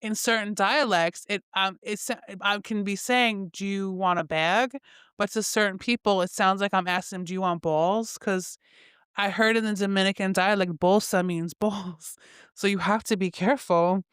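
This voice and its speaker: A woman hosts a casual solo podcast from her bed, reading affirmations and joking at her own stumbles. Her voice is very clear and smooth. The sound is close and dry, with no room echo. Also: medium pitch, fairly even intonation, moderate pace, fairly bright tone. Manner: chatty, self-mocking, laughs at her own slips. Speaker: podcast host.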